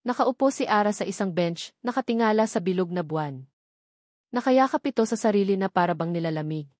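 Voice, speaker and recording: A woman's voice: mid-range voice, expressive, brisk, darkish tone, no room sound. Woman storyteller, dry close recording. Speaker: story narrator. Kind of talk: scripted dramatic narration of a romance story.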